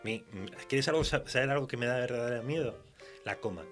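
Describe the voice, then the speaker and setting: A man with an accent from Spain chatting casually as a guest writer in a radio interview, telling stories in an animated, self-mocking way. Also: medium pitch, fairly quick, soft background music. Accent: Spanish from Spain.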